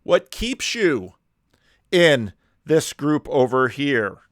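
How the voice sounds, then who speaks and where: A man commenting on a video clip, talking in animated rhetorical questions with slow, deliberate emphasis. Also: on the high side, no echo, studio quality.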